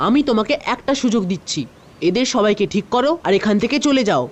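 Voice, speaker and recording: A woman's voice: a little high, fast talker, dull audio, background noise, close to an everyday voice. A woman voicing a brave, confident young boy hero in a dubbed cartoon, firm and challenging. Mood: defiant.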